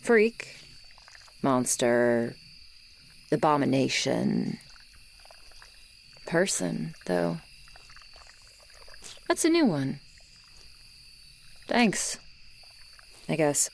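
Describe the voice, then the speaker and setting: A woman playing a gruff, lonely swamp monster girl who was once human, in a grudging, guarded and intimate ASMR roleplay delivery. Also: deep voice, sing-song, drawn-out words, long pauses, slight background noise, exaggerated voice. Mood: guarded, touched. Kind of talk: ASMR roleplay, acted monologue to the listener. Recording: swamp ambience of crickets and frogs. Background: insects and frogs, swamp night ambience.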